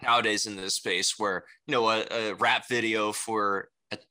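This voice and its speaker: Bright, low-pitched young man chatting. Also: steady tone, moderate pace. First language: Mandarin Chinese. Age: young adult. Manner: rambling, frequent "you know" and "right?" tags. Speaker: interview guest, salesperson and rapper. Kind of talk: casual conversational interview answers.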